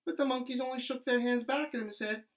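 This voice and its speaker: A man reading a children's storybook aloud like a primary school teacher, animated and with dramatic emphasis. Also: very high voice.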